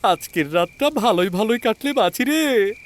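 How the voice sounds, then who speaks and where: A man's voice: high voice, animated, normal speed, pitched above the performer's own voice. A man voicing a frightened village man in an audio horror story, with an anxious, strained delivery. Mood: nervous dread. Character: frightened village man carrying a corpse at night.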